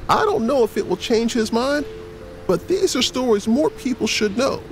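An actor playing a kindly, earnest adult storyteller, explaining things to children in an audio drama. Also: male voice, extremely high pitch, expressive, moderate pace, a little hiss or hum. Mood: encouraging. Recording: loud music behind the voice.

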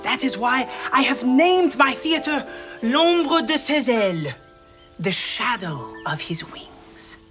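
A man in his thirties playing a gentle, excitable friar troubadour in a children's show, with expressive theatrical storytelling. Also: very high voice, slow pace, full character voice. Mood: reverent. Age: 30s. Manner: expressive storytelling, sprinkled with French. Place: studio set. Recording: music bed under the voice.

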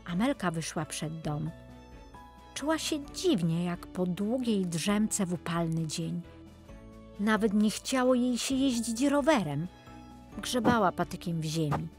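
A woman reading a scripted children's story as an expressive audiobook narrator. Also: lowish voice, measured pace. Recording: music behind the voice. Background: music.

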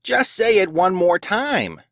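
A man's voice: high voice, very animated, takes it slowly, a bit bright, dry recording, studio quality, full character voice. An actor playing a gleefully spiteful, smug male school official in an audio drama, with theatrical delivery.

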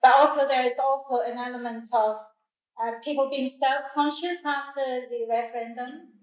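Higher-voiced woman. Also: fairly even intonation, moderate pace. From Hong Kong. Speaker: discussion leader. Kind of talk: group discussion. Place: group discussion in a room. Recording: echoey room, distant mic.

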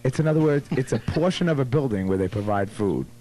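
A man's voice: mid-range voice, lively intonation, fast talker, warm tone, slight background noise. A man bantering casually and playfully as a radio host. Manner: playful, teasing. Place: radio studio. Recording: 1980s radio aircheck with music behind. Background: music.

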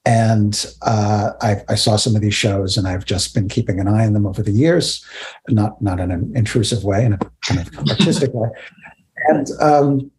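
A man's voice: lowish voice, moderate pace, darkish tone. A middle-aged man hosting an interview over a video call, speaking in a hesitant, wordy, drily jokey way. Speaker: interview host. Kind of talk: spoken introduction and interview questions. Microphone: webcam on a video call.

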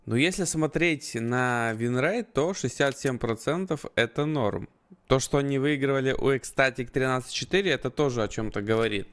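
A man's voice: mid-range voice, normal speed. A man co-commentating a live esports match, conversational and analytical, without a script. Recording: faint gunfire and clicks behind. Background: game gunfire, clicks.

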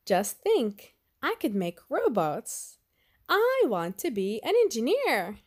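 An eager young storybook girl, acted out by a woman reading aloud, curious and excitable. The voice is on the high side and very animated, with slow, exclamatory delivery. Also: heavily acted.

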